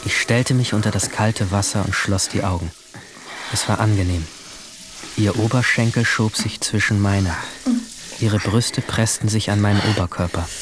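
A man's voice: on the low side, fairly even intonation, normal speed, background noise, lightly acted. A man playing a troubled, brooding seventeen-year-old boy who narrates his own story introspectively in a radio drama. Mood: anguished, tense. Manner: introspective, literary. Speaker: narrator and lead character.

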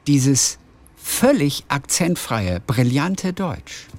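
A man's voice: mid-range voice, big pitch swings, drawn-out words, darkish tone, slight background noise. Curious male interviewer. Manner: friendly, curious questioning. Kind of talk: casual interview.

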